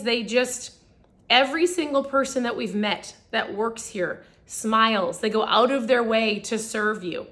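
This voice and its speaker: A chatty woman in her forties hosts a live stream, talking straight to her viewers in an upbeat way. Her voice is fairly high and very bright, yet hoarse and a little raspy. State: hoarse from screaming. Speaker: host of a live video. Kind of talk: casual live-stream chat.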